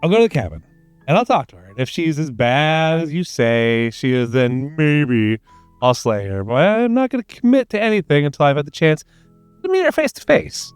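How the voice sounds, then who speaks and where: A man voicing a reluctant, sceptical game hero in a flippant, stubborn, deadpan way. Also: on the high side, normal speed, clean tone, no echo, faint music behind, natural voice.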